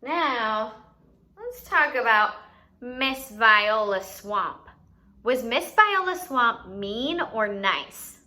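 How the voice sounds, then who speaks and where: A woman's voice: a little high, expressive, extremely slow, pushed and bright. A woman hosting a children's educational activity, playfully giving instructions and asking questions as if to young kids. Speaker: children's story time host and teacher.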